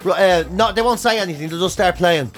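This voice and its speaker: A high-voiced Irish man chats casually and comically as a podcast co-host. He rants and swears freely, slipping into little acted-out anecdotes. Faint music sits behind him. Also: fairly quick. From Ireland. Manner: ranting, sweary, acts out anecdotes.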